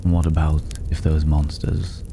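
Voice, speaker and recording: Man playing a very deep, teasing mysterious drifter. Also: completely flat delivery. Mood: probing, teasing. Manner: unhurried, wry, condescending, with drawn-out pauses. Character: regal, teasing male drifter, secretly a dragon. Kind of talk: intimate ASMR roleplay acting. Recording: clearly audible background noise.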